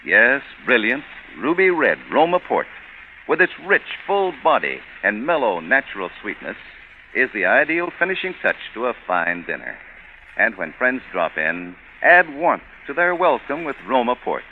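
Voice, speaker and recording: A man's voice: medium pitch, very animated, moderate pace. A man announcing in polished 1940s radio style, with emphatic, scripted salesmanship. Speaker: radio announcer and sponsor pitchman. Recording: tinny, hissy old radio broadcast.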